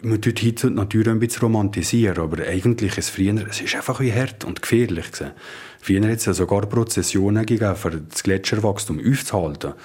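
A man's voice: on the low side, quick pace, a little mellow, breathy edge. A man, explaining informally as an interviewed musician.

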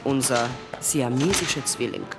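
A woman playing a morbid circus crocodile tamer in a radio play, telling things dramatically with dark deadpan asides. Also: extremely low pitch, measured pace, over loud music. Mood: dramatic, mysterious. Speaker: actress.

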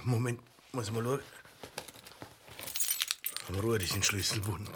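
A man's voice: lowish voice, unhurried, noisy background, lightly acted. An actor playing a timid male freight clerk who daydreams of being a hero, speaking in a hesitant way. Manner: hesitant, then eager. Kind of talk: radio play acting.

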